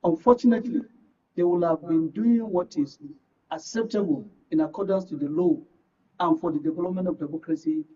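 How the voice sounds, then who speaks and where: High-pitched, husky Nigerian man. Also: brisk, warm tone. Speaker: guest political commentator. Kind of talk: live current affairs discussion. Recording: muffled, distant microphone.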